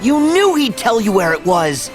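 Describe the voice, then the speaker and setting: A man's voice: high voice, big pitch swings, moderate pace. A man playing an eager, headstrong young hero in a video game, earnest, blunt and exclamatory. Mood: urgent. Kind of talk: video game cutscene acting. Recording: loud background music.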